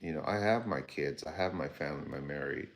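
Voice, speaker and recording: A man's voice: extremely low pitch, a little fast, vocal fry. A man in his fifties hosting a casual livestream, rambling conversationally and talking to viewers by name. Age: 50s. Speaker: livestream host. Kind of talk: casual livestream chat reading viewer comments.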